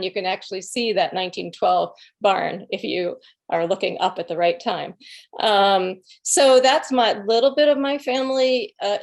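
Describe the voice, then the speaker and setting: A middle-aged woman talks as a guest in an easy, rambling way, chuckling between phrases. Her voice has a gravelly, fry-edged texture, and her pitch sits at an ordinary middle height. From Illinois, USA. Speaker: author and guest speaker. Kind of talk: conversational storytelling.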